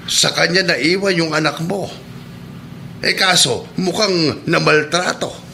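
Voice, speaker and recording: A man playing an excitable elderly neighbourhood baker in a Filipino radio drama, halting and repeating himself. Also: on the high side, vocal fry, a little hiss or hum, exaggerated voice. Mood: grave, gossiping. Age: older. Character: excitable elderly male neighbourhood baker full of old news.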